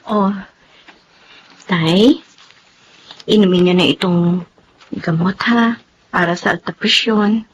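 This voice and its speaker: A woman's voice: lowish voice, slow pace, long pauses, low-quality recording. A woman acting a caring young nurse, a devoted daughter, in a Filipino radio drama with faint noise. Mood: caring, pleading.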